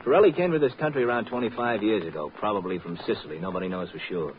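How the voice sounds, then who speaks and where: A man's voice: fairly low pitch, racing, close to an everyday voice. An actor playing a jovial, folksy, slangy newsman informant in an old radio drama, breezy and teasing. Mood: matter-of-fact. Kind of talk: 1940s-50s radio drama acting. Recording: muffled, noisy old radio recording.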